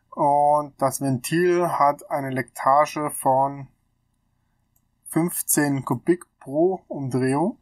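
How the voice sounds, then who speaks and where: A man's voice: a little high, dry recording. A man in his thirties with a stuffy cold, casually explaining technical calculations on his channel, thinking aloud with fillers, recorded on a laptop mic in a small room. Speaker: channel host. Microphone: laptop webcam mic.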